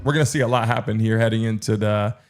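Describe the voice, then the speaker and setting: A man's voice: fairly low pitch, fairly even intonation, fast talker, faint music behind. A man hosting a live sports stream, chatting informally and hyping up his viewers. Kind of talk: livestream chatter and game reaction to viewers.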